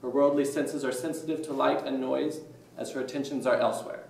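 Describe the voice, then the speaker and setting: A man playing a formal, courteous doctor in a staged play reading, addressing people in a measured, announcing way. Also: mid-range voice, fairly even intonation, vocal fry, lightly acted. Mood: stern, formal. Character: formal, courteous male doctor running an eerie experiment. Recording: echoey room, distant mic.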